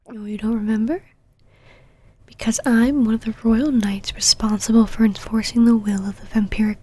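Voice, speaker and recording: A woman acting as an imperious vampire knight, a lady who whispers in a breathy, fairly high voice. She is possessive and controlling, teasing her listener with condescending pet names and commands in an intimate ASMR roleplay. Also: darkish tone.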